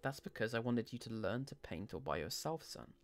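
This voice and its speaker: A man playing a stern, worried middle-aged father in a dramatic audio story, speaking in an uneasy, measured way. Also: mid-range voice, very animated, natural voice. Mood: firm.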